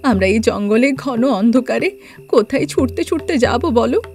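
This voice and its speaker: Cartoon mother female performer: young, devout, protective, pleading, higher register. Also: expressive, moderate pace, darkish tone, soft background music. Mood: worried. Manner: pleading, emotional.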